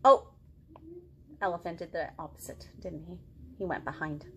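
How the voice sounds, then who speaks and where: A woman's voice: lowish voice, fairly even intonation, moderate pace, a bit bright, slight background noise. A woman in her thirties leading a children's storytime, asking simple questions as she talks to young listeners. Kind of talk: interactive picture-book storytime for children. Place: living room at home.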